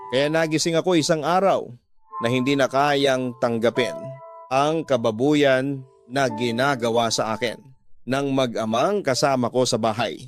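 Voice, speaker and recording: A man's voice: medium pitch, a little slow. Male drama narrator over music. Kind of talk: scripted first-person emotional story narration. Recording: music bed behind the voice.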